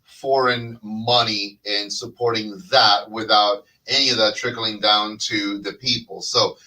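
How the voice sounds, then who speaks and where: A man's voice: lowish voice, level tone, unhurried, bright, forceful tone, recorded from a distance, low-quality recording. Rambling man hosting a casual chat. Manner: rambling, many fillers and restarts. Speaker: podcast host.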